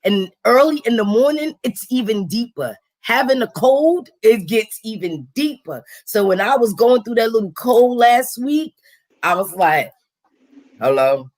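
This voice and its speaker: Raspy, deep-voiced woman chatting. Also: a little slow. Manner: animated, slangy, acts out quotes in a higher voice. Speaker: host. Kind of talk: casual live-stream chat.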